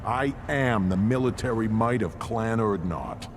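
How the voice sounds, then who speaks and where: An actor voicing a cocky, gruff alien mechanic in a video game, blunt and boastful. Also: male voice, on the low side, lively intonation, a little slow, background noise. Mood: boastful, scornful. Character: gruff cocky alien warrior-race mechanic, adult male. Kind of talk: video game dialogue acting.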